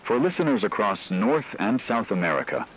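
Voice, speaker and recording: A man acting as a radio news anchor, delivering scripted breaking news in a grave, formal, measured manner. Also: lowish voice, big pitch swings, slight background noise, low-quality recording. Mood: grave, urgent. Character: grave male radio newsman reporting an apocalypse.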